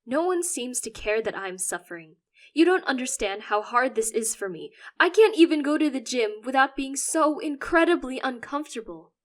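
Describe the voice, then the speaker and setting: A woman's voice: high-pitched, expressive, moderate pace, voice pushed up. A woman voicing a distraught teenager who whines, shrieks and sobs in anger. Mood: whining. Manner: whiny, shrieking, sobbing outbursts. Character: distraught teenager, furious and tearful.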